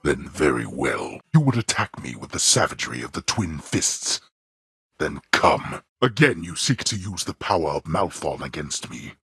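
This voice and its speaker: A man playing a stern, imperious god of the underworld and disapproving father in a video game, with haughty, formal, deliberate delivery and a gravelly, husky voice. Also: very deep voice, fairly bright tone, no echo, studio quality, exaggerated voice. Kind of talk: theatrical video game voice acting.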